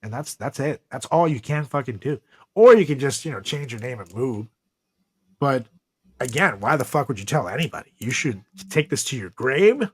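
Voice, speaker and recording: A man's voice: mid-range voice, lively intonation, normal speed, slightly rough, no echo. A man hosting a comedy podcast with casual, profane banter and theatrical announcer flourishes. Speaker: podcast host.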